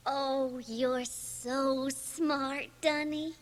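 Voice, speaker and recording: An actress playing a coy, simple schoolgirl in a radio drama, girlish and flattering. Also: high-pitched, very slow. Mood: admiring.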